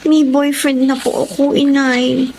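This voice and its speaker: A woman's voice: high-pitched, flat delivery, unhurried, creaky, darkish tone, slight background noise, low-quality recording. A woman acting an earnest, troubled young working daughter in a Filipino radio drama, speaking politely and deferentially. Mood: apologetic, sincere.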